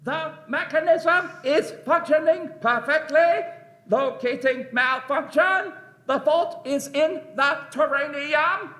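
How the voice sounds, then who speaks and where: A fanatical alien war machine in a sci-fi radio play. The voice is very high and piercingly bright, barking harsh, chanted declarations in staccato bursts. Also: male voice, takes it slowly, heavily acted. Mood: clipped, urgent.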